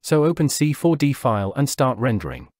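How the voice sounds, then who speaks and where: A man narrating a scripted software tutorial, walking through each step in a steady, instructional way. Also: medium pitch, a little fast, no echo, studio quality. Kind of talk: scripted software walkthrough voice-over. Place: voice-over over screen recording.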